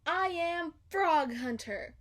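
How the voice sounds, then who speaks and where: A woman's voice: very high voice, expressive, slow pace, a bit bright, voice pushed up, exaggerated voice. A woman voicing an eager, bossy little girl who calls out emphatically in a children's storybook. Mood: bold, proud. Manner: emphatic, calling out. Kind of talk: children's storybook read-aloud.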